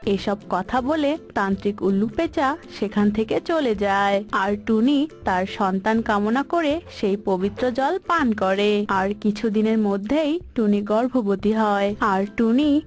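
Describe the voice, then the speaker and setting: A woman's voice: mid-range voice, unhurried, faint music behind. A woman reading scripted narration as the storyteller of a cartoon folk tale.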